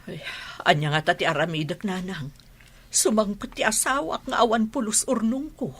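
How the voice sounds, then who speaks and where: A woman playing a hard-pressed, grumbling middle-aged mother in a radio drama, with an Ilocano Filipino accent and a husky edge. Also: mid-range voice, moderate pace. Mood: distressed, pleading.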